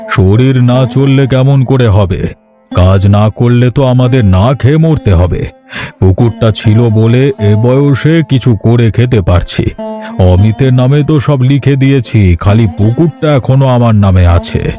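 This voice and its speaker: A man voicing a gentle elderly father who calmly soothes his wife. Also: mid-range voice, a little slow, close to an everyday voice. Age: older. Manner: soothing, reasoning. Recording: voice over music.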